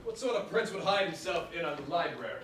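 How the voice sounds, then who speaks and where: In a very echoey room, at some distance, a crude soldier henchman played by a man jeers and taunts. The voice is high and sharp, with rough colloquial delivery in a staged play. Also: expressive, brisk, slight background noise. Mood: grumbling. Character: crude, jeering soldier henchman who enjoys bullying.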